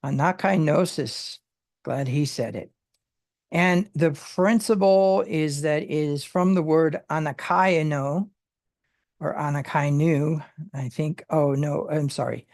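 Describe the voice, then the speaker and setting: A Bible study teacher who explains word meanings in a halting way, with many fillers and restarts. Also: medium pitch, a little mellow, dry recording, clean studio sound.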